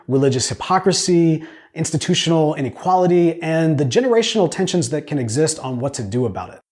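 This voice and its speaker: A middle-aged man telling a personal story in a conversational, earnest way as he pitches his crowdfunding project. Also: darkish tone. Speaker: podcast producer and storyteller pitching his audio drama.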